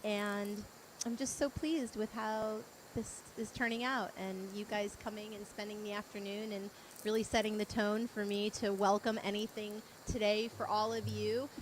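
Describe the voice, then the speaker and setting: A woman's voice: medium pitch, flat delivery, normal speed, a little hiss or hum, low-quality recording. A woman hosting in a casual, chatty style, with a playful and effusive manner. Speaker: host. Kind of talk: casual chat.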